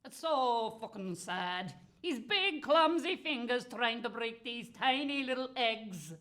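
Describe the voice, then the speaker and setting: A woman's voice: on the high side, lively intonation, slow, a bit bright. A woman playing a spiteful, gleeful, foul-mouthed witch who tells comic fairy tales in a theatrical, cackling manner. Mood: gleeful, mischievous. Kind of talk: comic storytelling monologue.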